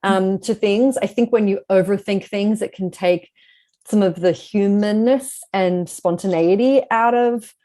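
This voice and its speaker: A woman's voice: mid-range voice, measured pace, dark tone. A woman speaking as a guest author in a casual interview, explaining things in a reflective way.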